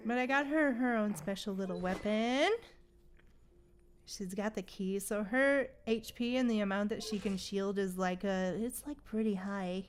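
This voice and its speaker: A woman livestreamer chatting casually in a slangy, animated way while gaming. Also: medium pitch, measured pace, smooth. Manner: chatty, animated retellings with frequent slangy exclamations.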